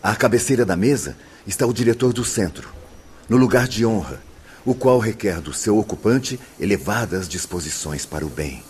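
An actor with a Brazilian accent playing a solemn, courteous spirit guide who explains formally in a radio drama. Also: male voice, fairly low pitch, lively intonation, normal speed, a little mellow, breathy edge. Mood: patient, instructive. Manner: formal, didactic.

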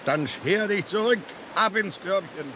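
An actor playing a worldly, philosophical stray tomcat in a radio drama, with a sardonic, dismissive drawl. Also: male voice, high voice, measured pace. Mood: dismissive, mocking. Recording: constant rain-like hiss behind the voice.

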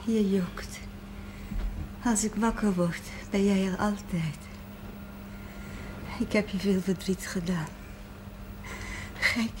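An actress playing a gravely ill, weary and loving mother in a 1970s Dutch television drama, speaking tenderly and with resignation. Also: mid-range voice, steady tone, takes it slowly, pauses often, natural voice. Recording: faint noise on old TV audio.

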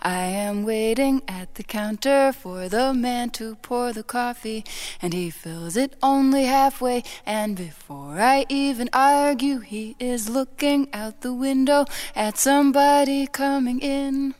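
A woman's voice: fairly high pitch, slow pace, a little mellow, soft background music. A woman singing a pop song in a narrative, conversational style. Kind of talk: sung pop song in English.

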